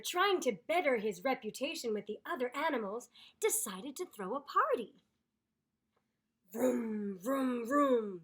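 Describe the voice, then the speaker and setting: A woman reading a children's story aloud in an expressive, theatrical, deliberate way. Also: fairly high pitch, slightly rough. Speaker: storyteller, voice actor.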